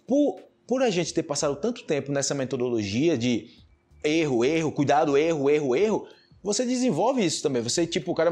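A man with a Brazilian Portuguese accent speaks as an informal language teacher. His voice is husky rather than smooth. He explains conversationally, leaning on repetition to stress his points. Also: a little high, darkish tone. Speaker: Portuguese teacher and podcast host. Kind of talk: informal explanatory talk to learners.

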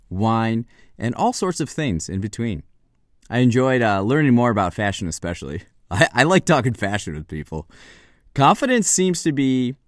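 A man hosting a podcast, introducing the guest and asking relaxed, friendly questions. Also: medium pitch, no room sound, clean studio sound. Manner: relaxed, friendly, some fillers. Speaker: podcast host.

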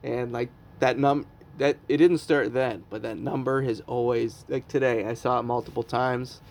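30s comedian guy rambling on, jokes at himself, laughs a lot, mid pitch. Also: steady tone, normal speed, creaky. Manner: rambling, self-mocking, laughs often. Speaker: comedian podcast host. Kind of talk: casual chat.

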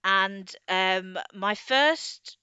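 A woman co-hosting a podcast in casual chat, with an animated, jokey manner. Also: mid-range voice, drawn-out words, smooth, no room sound, lo-fi sound. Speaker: podcast co-host.

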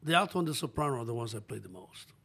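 An older man from the Dominican Republic, a musician answering interview questions in a relaxed, plain-spoken way with short answers. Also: medium pitch, racing, gravelly, slightly rough. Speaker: guest musician. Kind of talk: casual interview.

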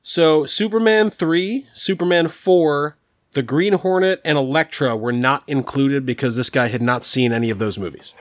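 A man's voice: fairly high pitch, moderate pace, dry recording, clean studio sound. A man co-hosting a casual podcast chat, laughing often and quizzing the others. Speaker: podcast co-host.

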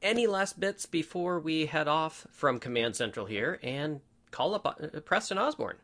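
A man's voice: fairly high pitch, animated, unhurried, fairly bright tone. A man hosting a rally podcast, chatting in an upbeat, easygoing way and laughing easily. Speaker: podcast host. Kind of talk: casual podcast chat.

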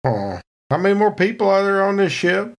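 A man's voice: high-pitched, sing-song, fairly quick, creaky, pitched above the performer's own voice, heavily acted. A man playing a folksy, sardonic, hard-drinking spaceship crewman in a tabletop game. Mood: wary, puzzled.

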